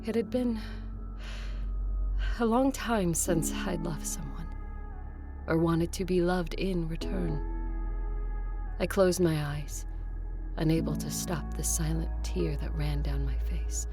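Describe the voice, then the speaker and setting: Low-voiced solemn vampire woman. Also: slow pace, long pauses, over music. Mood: hushed, reflective. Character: solemn, determined female vampire leader, centuries old. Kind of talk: dramatic acting with first-person narration.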